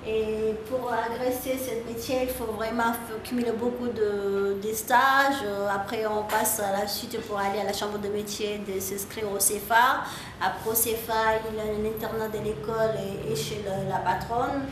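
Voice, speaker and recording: A woman's voice: mid-range voice, little pitch movement, a little slow. Woman hairdresser, echoey salon. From Comoros. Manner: hesitant, repeats words, proud and eager. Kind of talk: casual interview talk while working. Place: hair salon. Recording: echoey room, distant mic, background noise.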